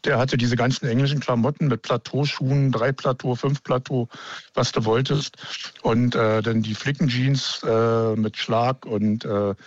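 A man's voice: mid-range voice, fairly even intonation, measured pace, dry recording. Give me an enthusiastic man, on a dull, muffled phone line. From Berlin. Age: 60s. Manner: enthusiastic, reminiscing freely. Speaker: caller. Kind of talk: phone-in chat.

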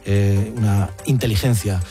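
Radio host, brisk intro voice, faint music in the background. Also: male voice, on the low side, steady tone, warm tone. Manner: brisk, announcing segments. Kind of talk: radio presenting.

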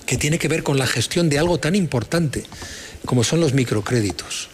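A man hosting a conversational interview in a deliberate, admiring manner. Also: mid-range voice, animated, a little hiss or hum. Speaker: interviewer and award event host.

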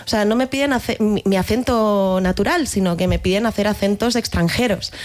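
A woman's voice: mid-range voice, a little fast, a little mellow. A woman in her thirties with a mixed Catalan and Madrid accent in Spanish, answering interview questions in a reflective, informal way. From Barcelona, Spain. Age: 30s. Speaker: actress, interview guest.

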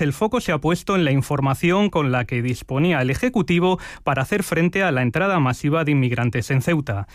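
A man reading radio news bulletins in a clear, measured way from a studio. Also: a little high, no echo. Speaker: news anchor. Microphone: studio microphone.

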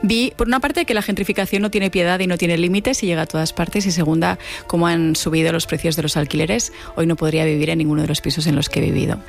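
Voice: mid-range voice, fairly even intonation, fast, vocal fry, soft background music. An interviewee speaking in a firm, matter-of-fact way. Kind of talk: interview testimony.

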